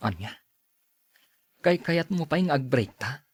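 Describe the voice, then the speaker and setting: Husky devoted young Ilocano boyfriend. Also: male voice, on the high side, animated, unhurried, dry recording. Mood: pleading. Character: devoted young boyfriend, obedient to his mother. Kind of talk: Ilocano radio drama acting.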